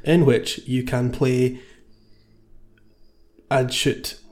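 A man's voice: medium pitch, little pitch movement, extremely slow, darkish tone. A man taking part as a contestant in a comedy panel game, bantering and improvising reviews.

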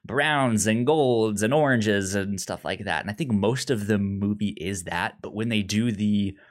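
A man co-hosting a podcast, discussing a film casually in a halting way, often stammering and repeating words. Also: on the low side. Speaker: podcast co-host.

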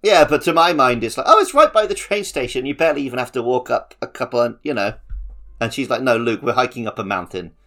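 A man's voice: medium pitch, lively intonation, fast, sharp tone. A man chatting casually as a podcast co-host. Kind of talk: casual chat.

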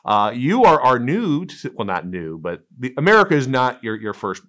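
A man's voice: medium pitch, huge pitch swings, moderate pace, a bit bright. A male podcast host who reads a scripted introduction and then interviews a guest in an upbeat, encouraging way.

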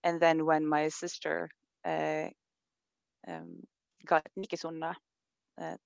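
A woman's voice: deep voice, sing-song, slow, vocal fry, no echo, highs cut off. A woman from Sweden with a Swedish accent, speaking thoughtfully in an interview, with many fillers and restarts. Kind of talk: reflective conversation.

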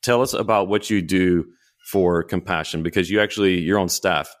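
A man's voice: sits low, moderate pace, no room sound. A man hosting a podcast interview in a conversational way, often restarting his phrases as he talks. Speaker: podcast host.

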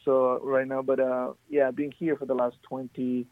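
Male guest, halting casual testimony, tinny dry phone-line sound. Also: medium pitch, flat delivery, no room sound. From Peru. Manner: halting, many fillers and restarts. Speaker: podcast guest.

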